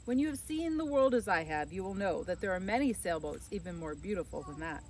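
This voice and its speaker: Woman voicing a proud, grumbling, animated fisherman. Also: lowish voice, a little fast, a little hiss or hum, natural voice. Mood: patronizing. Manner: exclamatory, repetitive, mock-exasperated. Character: proud, teasing, lazy fisherman man, grumbling and dramatic. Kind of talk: children's storybook character reading.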